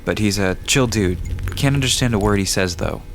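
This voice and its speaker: A man's voice: on the low side, fairly quick, slight background noise, natural voice. A man playing a mild, apologetic park guide in an audio drama, talking casually and a little hesitantly. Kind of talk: conversational audio drama acting.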